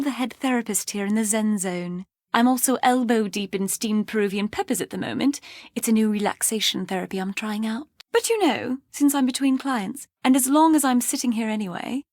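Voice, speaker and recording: A woman playing a brisk, grumbling head therapist at a spa in a video game, chatty and dryly bossy. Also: fairly high pitch, dry recording, clean studio sound. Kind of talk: video game character dialogue.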